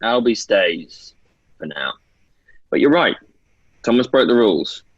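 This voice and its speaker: Husky guy reading script lines on zoom, muffled sound, animated delivery. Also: lowish voice, a little slow. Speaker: actor reading a part. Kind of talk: script table read. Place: indoor, video call. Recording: dull lo-fi video call.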